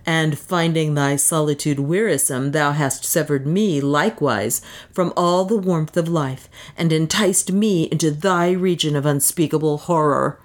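A woman's voice: extremely low pitch, full character voice. A woman reading a story, voicing a bitter, enraged young man who denounces his lover in archaic, venomous speech. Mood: venomous scorn. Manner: venomous, drawn-out archaic denunciation. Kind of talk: dramatic audiobook storytelling.

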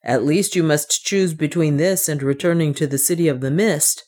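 A grim, steady adventurer of a man, acted by a woman narrator. He speaks in a low register, gravely and firmly, with a kindly streak, as in an audiobook tale. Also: normal speed. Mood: insistent. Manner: grave, firm. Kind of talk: dramatised audiobook dialogue.

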